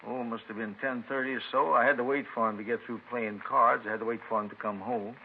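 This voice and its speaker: Casting: male actor for old father, weary yet desperate, fast and creaky, vintage crime radio, narrow tinny sound. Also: medium pitch, steady tone, a little hiss or hum, close to an everyday voice. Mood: weary, stubborn. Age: older. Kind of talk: 1950s radio crime drama acting.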